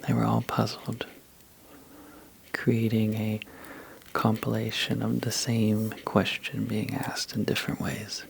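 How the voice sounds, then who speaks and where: A man telling a sleepy ASMR bedtime story in a soft, breathy half-whisper, halting and drowsy, with long pauses. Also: fairly low pitch, monotone, a little hiss or hum, poor audio.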